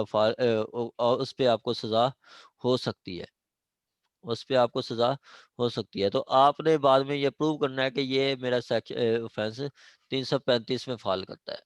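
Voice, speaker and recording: A man's voice: medium pitch, smooth, no room sound. A man with a Pakistani accent teaching law informally, hesitant and repetitive, mixing in English terms and often checking that students follow. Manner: mixes Urdu with English legal terms. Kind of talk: informal classroom lecture with questions to students.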